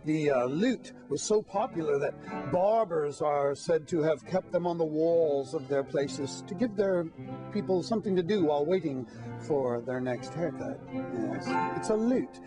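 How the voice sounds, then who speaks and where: Unhurried higher-voiced man over loud plucked strings. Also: expressive, music in the background. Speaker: lute-playing minstrel at a Renaissance festival. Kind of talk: informal explanatory interview talk. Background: plucked string instrument playing.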